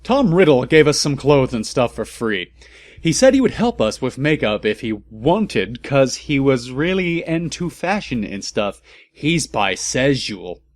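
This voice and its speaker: A man's voice: mid-range voice, sing-song, measured pace, dry recording. A man giving a comedic, theatrical and mock-serious reading of amateur fan fiction as its narrator. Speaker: narrator and commentator.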